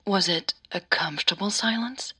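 A woman voicing a calm, patient mother who gently soothes and advises her daughter in an audio drama. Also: mid-range voice, moderate pace, slightly rough. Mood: soothing.

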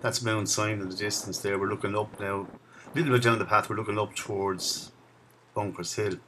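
A man in his sixties talks his way along a riverside path, recalling old places in a relaxed, chatty way. His voice sits fairly low. He records on a camera mic outdoors, with a faint hum of town ambience behind him. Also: brisk, poor audio. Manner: chatty, reminiscing as he walks. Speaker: video blogger. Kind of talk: casual walking commentary.

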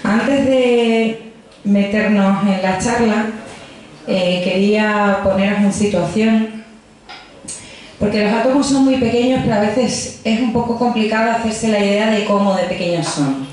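A woman's voice: medium pitch, measured pace, darkish tone. A young woman from Extremadura with a Badajoz accent, a physicist giving a chatty popular science talk into a handheld mic in a pub. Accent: Badajoz Spanish. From Extremadura, Spain. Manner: chatty, jokey, addresses audience directly. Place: crowded pub, indoors. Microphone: handheld microphone. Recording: echoey pub room with faint noise.

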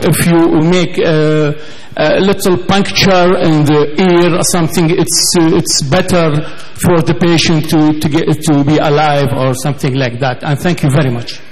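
A man from Iraq, not an English speaker, asking a hesitant question from the floor of a large conference hall. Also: sits high. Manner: hesitant, many fillers and restarts. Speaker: audience member asking a question.

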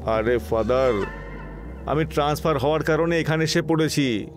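A man's voice: fairly high pitch, moderate pace, faint music behind, close to an everyday voice. A man playing a confident, skeptical police inspector in a horror cartoon, assertive and brusque. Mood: defiant.

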